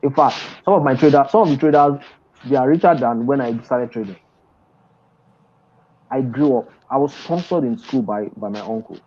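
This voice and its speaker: A man's voice: medium pitch, moderate pace, mellow. Emphatic man on a dull call. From Nigeria. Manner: emphatic, insistent, many restarts. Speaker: guest trader and mentor. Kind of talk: video call interview. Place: indoor room, seated in an office chair. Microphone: earphone headset on a video call. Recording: dull lo-fi video call audio.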